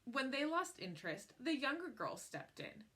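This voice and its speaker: A woman reading a picture book aloud in an expressive storytelling style, phrasing in a measured way and leaning on key words. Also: high voice, husky.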